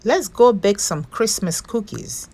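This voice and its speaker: A woman reader playing a caring storybook woman who gently coaxes and comforts her little one. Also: mid-range voice, expressive, unhurried, creaky. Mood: comforting.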